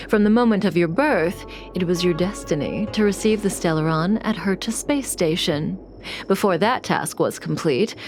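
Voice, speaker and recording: A calm, enigmatic woman played by an actress from a video game, speaking in a fairly low, measured voice. She is composed and coolly playful, a teasing manipulator who sounds fully in control. Music plays clearly behind her. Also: lightly acted. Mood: calm, detached.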